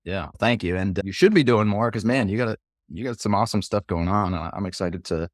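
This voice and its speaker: A man's voice: lowish voice, fast talker, no echo, clean studio sound. A man hosting an interview podcast, reading the guest's bio and then chatting in an upbeat, encouraging way. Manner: upbeat, encouraging, casual fillers. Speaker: podcast host.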